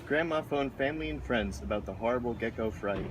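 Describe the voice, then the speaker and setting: A man's voice: medium pitch, fairly quick. A man reading a children's picture book aloud, recorded outdoors. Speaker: storybook reader. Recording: noisy outdoor recording with traffic.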